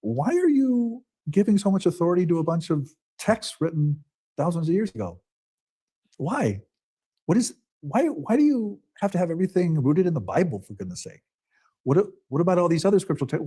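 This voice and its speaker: Exasperated man in a lively debate. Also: a little high, brisk, husky. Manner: exasperated, fires off rhetorical questions. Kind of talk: heated debate.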